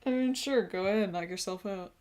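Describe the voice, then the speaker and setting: A woman's voice: mid-range voice, brisk, darkish tone. A woman chatting casually as she streams a game, easygoing and giggly.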